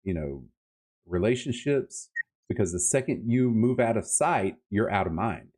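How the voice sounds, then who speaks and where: A man's voice: fairly low pitch, expressive, moderate pace, darkish tone. A man chatting casually and emphatically about books as a podcast host, with enthusiastic, colloquial delivery. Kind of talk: casual book-review chat.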